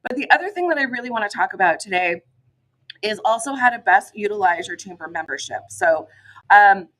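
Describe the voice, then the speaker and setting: A woman talks through community updates in a loose, chatty way, with ums and you-knows scattered through. Her voice is hoarse and a little rough from a head cold. It sits at a middle pitch for a woman. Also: lively intonation, fairly quick, fairly bright tone, poor audio. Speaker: chamber of commerce director hosting a morning show. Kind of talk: casual solo update to camera.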